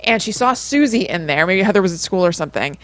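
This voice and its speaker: A woman's voice: lowish voice, lively intonation, fast, fairly bright tone. A woman, co-hosting in a casual, chatty storytelling style full of informal asides. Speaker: podcast co-host.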